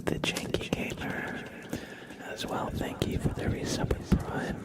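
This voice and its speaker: A man hosting an ASMR livestream in a hushed, unhurried, rambling way, speaking softly and casually close to the microphone. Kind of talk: live ASMR, soft whispering and quiet casual chat.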